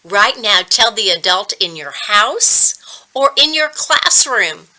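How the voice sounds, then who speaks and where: A woman reading a children's story aloud as a reading coach, deliberate and expressive. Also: medium pitch, low-quality recording.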